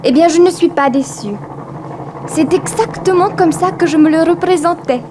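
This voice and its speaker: A woman's voice: very high-pitched, moderate pace, noisy background. An actress playing a bold, proud eighteen-year-old Russian immigrant girl in a 1960s French radio drama, with a cheeky, self-assured manner. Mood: excited, boastful.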